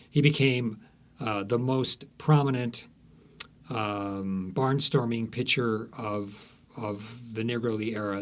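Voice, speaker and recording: A man speaking as an author guest on a podcast, telling stories conversationally in a halting, emphatic way. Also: lowish voice, a little mellow. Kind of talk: conversational storytelling in an interview.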